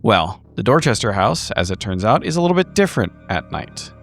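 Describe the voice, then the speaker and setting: A man's voice: lowish voice, sing-song, brisk, creaky. A man with a wry, wordy, articulate way of talking, narrating like a tabletop roleplaying podcast player. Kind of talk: casual banter and narrated session recap. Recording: music behind the voice. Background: music.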